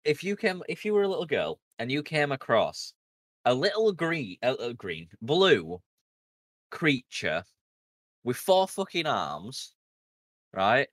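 A man's voice: medium pitch, slow pace, long pauses, no echo. A man co-hosting a podcast, chatting casually and ranting with lots of swearing. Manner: animated, swearing rants. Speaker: podcast co-host. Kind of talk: casual chat.

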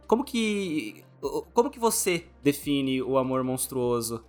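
A man's voice: medium pitch, very animated, a little slow, darkish tone, soft background music. A Brazilian man speaking as a podcast host in a casual, conversational interview style.

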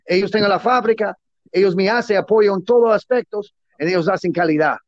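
High-pitched guy, not a native speaker, choppy spanish, dry muffled sound. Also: dry recording. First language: not Spanish; Spanish is his second or third language. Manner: broken, halting Spanish with grammar slips, enthusiastic and salesy. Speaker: guest, cigar businessman. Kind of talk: closing remarks in a casual interview.

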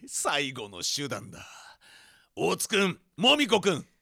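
A man's voice: on the high side, huge pitch swings, a little slow, gravelly, sharp tone, slightly rough, exaggerated voice. A man playing an exasperated office manager who narrates melodramatically in a comic radio drama. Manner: melodramatic, overwrought. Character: exasperated male office manager, narrating his pent-up frustration.

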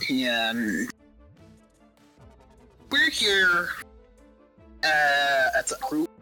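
A performer playing a casual, unsettling vampire bishop in an improvised audio drama, halting and offhand, with breathy breaks into whispers. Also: mid-range voice, sharp tone, full character voice, low-quality recording. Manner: halting, offhand, drawn-out hesitant delivery. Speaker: interviewee in roleplay. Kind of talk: unscripted in-character audio drama.

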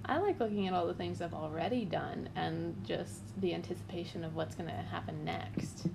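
Gravelly twenty-something woman, laughing a lot. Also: lowish voice, moderate pace. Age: 20s. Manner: upbeat, laughs often. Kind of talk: casual chat. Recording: noisy background hiss. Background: dog chewing a bone.

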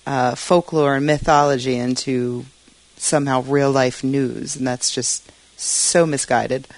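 A woman's voice: rumbling low, fairly even intonation, measured pace, no room sound, poor audio. A woman speaking on a podcast panel in a wry, conversational way. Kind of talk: casual panel discussion.

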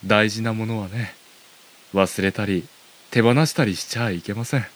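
Man playing a gentle, low-voiced older gentleman. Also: fairly even intonation, normal speed, a little hiss or hum, lightly acted. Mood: kindly, calm. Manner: polite, softly advising. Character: gentle, courteous middle-aged neighbourhood association chairman. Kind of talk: audio drama acting.

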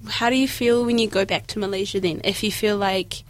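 A woman's voice: medium pitch, fairly even intonation, brisk, poor audio. A woman interviewing in a casual chat, listening closely and asking questions. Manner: attentive, brief backchannels. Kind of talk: casual conversation.

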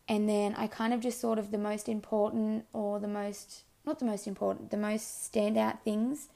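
A woman's voice: medium pitch, creaky, a little mellow. A young woman vlogger casually talking through her plans, rambling and correcting herself as she goes. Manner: rambling, self-correcting, full of likes. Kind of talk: casual personal storytelling.

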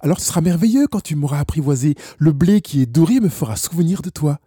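A man voicing a lonely, wistful fox in a storybook, explaining gently in simple phrases. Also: on the high side, expressive, fast, slightly rough, no echo, studio quality, pitched above the performer's own voice. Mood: wistful, hopeful. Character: wistful, lonely wild fox longing to be tamed.

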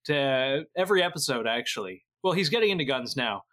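A man's voice: mid-range voice, lively intonation, fairly quick, a bit bright. A man from Canada talking as a podcast guest in casual, eager and jokey chat.

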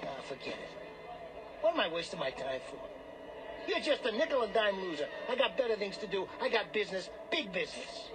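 An actor playing a brash, cocky small-time hustler and gambler in a radio drama. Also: male voice, sits high, very animated, a little fast, background noise. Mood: irritated, cocky. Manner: wheedling, cocky, streetwise wise-guy talk.

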